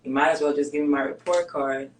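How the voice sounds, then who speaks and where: Greek-born woman guest with Greek as her first language, candid and measured, roomy echo. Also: deep voice, slight background noise. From Greece. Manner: candid, steady, measured with pauses. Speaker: guest, basketball player. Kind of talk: reflective interview storytelling.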